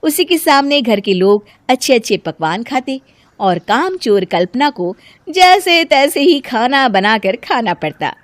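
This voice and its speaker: A woman reads a scripted animated family tale as narrator. Her voice is fairly high with very expressive pitch movement. The recording is dry and close. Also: a little slow, no room sound. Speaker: story narrator.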